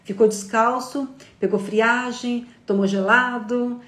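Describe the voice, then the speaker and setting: A woman's voice: on the high side, fairly even intonation, slow, fairly bright tone. A woman giving a conversational live psychology lecture, explaining patiently and using many fillers. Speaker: psychology teacher. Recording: roomy echo.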